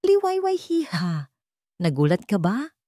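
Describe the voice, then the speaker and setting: A woman's voice: medium pitch, huge pitch swings, measured pace, dark tone, no echo, clean studio sound, voice pushed up. A woman voicing a cold, haughty, venomous older rich villainess in a narrated story, taunting and menacing. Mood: mocking.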